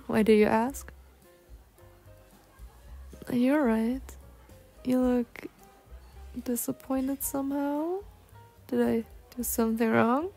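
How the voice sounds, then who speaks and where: A woman's voice: on the high side, gravelly, a little mellow, heavily acted. A young woman voicing a polite, bookish, insecure cougar-girl on a date in an ASMR roleplay, speaking formally with flustered hesitations. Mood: puzzled.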